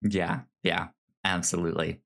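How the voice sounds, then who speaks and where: A podcast co-host in a casual film discussion, talking informally and haltingly with repeated stammered starts. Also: deep voice, little pitch movement, vocal fry, fairly bright tone.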